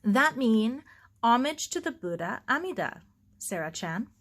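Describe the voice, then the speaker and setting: A woman voicing a calm, devout elderly Japanese gardener who speaks slowly in broken English. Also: a little high, heavily acted. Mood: calm, instructive. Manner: slow, broken English with dropped articles.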